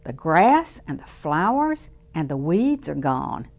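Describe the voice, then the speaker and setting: Female children's storytime reader. Unhurried, expressive, sing-song delivery with plenty of pitch movement. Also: gravelly. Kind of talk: read-aloud storytime for young children.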